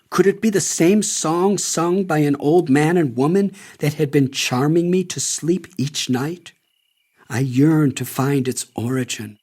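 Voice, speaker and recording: Slow, reflective older man narrating. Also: a little high, darkish tone. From United States. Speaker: author reading his memoir. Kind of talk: audiobook narration. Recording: light music under the voice.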